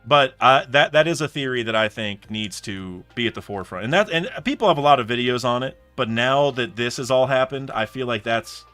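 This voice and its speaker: A man's voice: mid-range voice, expressive, fairly quick, few pauses, bright, forceful tone, smooth, faint music behind. A young man chatting casually on a live stream, thinking aloud in rambling sentences full of restarts and fillers. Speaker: streamer reacting to a comic chapter.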